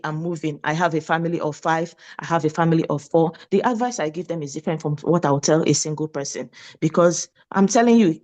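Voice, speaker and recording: A Nigerian woman in her late thirties, a nurse, talking with a lively, preachy energy and repeating her points in a casual interview. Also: low voice, muffled recording. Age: 30s. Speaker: nurse and guest.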